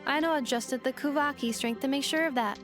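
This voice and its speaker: A woman's voice: sits high, a little fast, over music, close to an everyday voice. A woman voicing an earnest female robot companion in a video game, speaking in clipped, formal, machine-like phrases. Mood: flat, factual. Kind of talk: video game character acting.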